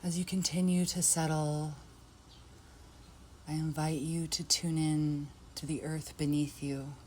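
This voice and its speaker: A woman leading a guided yoga nidra meditation in a soft, unhurried, inviting way. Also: deep voice, little pitch movement, a little hiss or hum.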